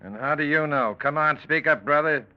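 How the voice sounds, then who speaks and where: A man's voice: on the high side, moderate pace, close to an everyday voice. Man playing a brusque cop on muffled radio. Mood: demanding. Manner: curt, demanding. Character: brusque, hard-boiled male policeman. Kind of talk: 1940s radio acting. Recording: muffled old radio broadcast.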